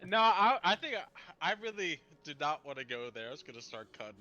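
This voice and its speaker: A man who plays in a tabletop game, swearing freely and excitable as he chats after the session. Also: fairly high pitch, brisk, dull audio. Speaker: player. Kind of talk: casual post-session chat.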